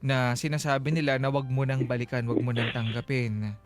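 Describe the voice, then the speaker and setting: A man's voice: medium pitch, level tone, brisk. A man with a Filipino accent hosting a radio advice show, talking things through conversationally. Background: faint music.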